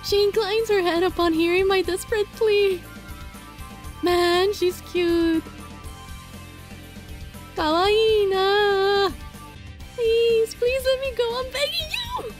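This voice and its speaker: A woman reading a visual novel aloud as a let's play, dramatic and playful, in a giggly, flustered, excitable manner. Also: very high voice, slow pace, long pauses. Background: music.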